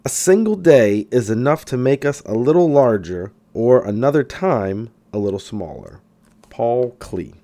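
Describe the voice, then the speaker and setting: A male narrator reads a spiritual self-help book with a soft, mellow tone. His pitch rises and falls a good deal as he stresses key words, and he keeps a measured, teacherly delivery. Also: expressive. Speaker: audiobook reader.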